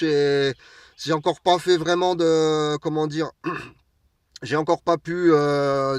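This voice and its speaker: A man's voice: on the high side, dry recording, studio quality. A man from France chatting casually and a little hesitantly as an amateur radio operator, speaking from a parked vehicle. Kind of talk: casual radio contact chat. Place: parked vehicle outdoors on an open plateau. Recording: distant mic, recorded away from the speaker.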